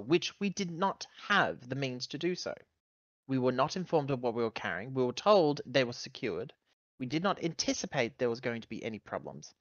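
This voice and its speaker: Guy doing a lady space officer in a tabletop game, formal n defensive, halting, mid pitch, kinda dull dry recording. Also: dry recording, lightly acted. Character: defensive spaceship officer woman, blunt and protective of crew.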